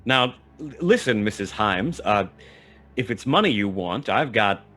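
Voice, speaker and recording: A hard-boiled private detective tells his story in a radio play. His voice is low, wry and laconic in classic noir style. Music sits faintly underneath. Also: lively intonation, measured pace, a bit bright. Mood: guarded.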